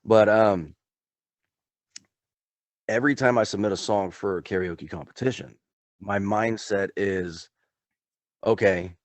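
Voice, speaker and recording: A man co-hosting a podcast, chatting in a relaxed, easygoing way and often calling his friend dude. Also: lowish voice, no room sound. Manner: laid-back, chatty, says dude often. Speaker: podcast co-host. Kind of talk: casual chat.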